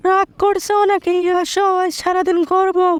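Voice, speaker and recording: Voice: very high voice, flat delivery, moderate pace, dry recording, pitched above the performer's own voice. A performer voicing a flighty young cartoon woman with a Bangladeshi accent, whiny and evasive, in colloquial rural speech. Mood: eager. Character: flighty young woman two-timing her boyfriends with excuses. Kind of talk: comedy cartoon dialogue. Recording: music under the voice.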